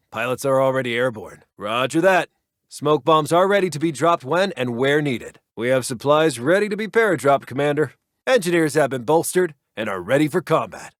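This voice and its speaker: A male actor playing a brisk, authoritative American World War Two intelligence officer in a video game, with clipped military delivery. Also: on the high side, no echo, studio quality, close to an everyday voice. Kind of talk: scripted war game unit voicelines.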